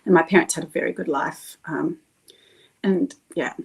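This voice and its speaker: A middle-aged woman from Australia, a guest in a casual interview, talking warmly with frequent laughs and drawn-out emphasis. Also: low voice, fairly even intonation, gravelly, husky, low-quality recording. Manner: enthusiastic, laughs often, drawn-out emphasis on words.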